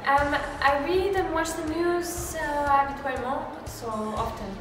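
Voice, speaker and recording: A woman's voice: high voice, a little slow, voice pushed up. A woman voicing a polite, hesitant Parisian interviewee who slips French words into her answers. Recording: echoey hall from far off, music behind.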